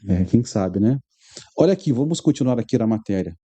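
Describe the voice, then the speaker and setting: A man's voice: medium pitch, expressive, fairly quick, mellow, slightly rough. A man with a Rio de Janeiro Brazilian accent, reading news aloud with conversational asides to his viewers as a religious commentary host.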